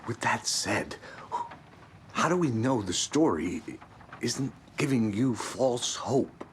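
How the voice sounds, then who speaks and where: Slow, brooding federal agent. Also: male voice, medium pitch, lively intonation. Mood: wary. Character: weary, brooding male federal agent, hard-boiled and wary. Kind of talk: video game cutscene acting. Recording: light ambient background noise.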